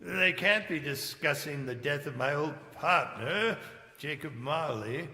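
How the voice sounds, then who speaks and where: An actor playing a fearful, repentant old miser in a stage radio play, stammering and pleading in theatrical tones. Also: male voice, a little high, lively intonation, pushed and bright, exaggerated voice. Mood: bewildered, earnest. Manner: halting, stammering, earnest and theatrical. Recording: echoing live hall stage recording.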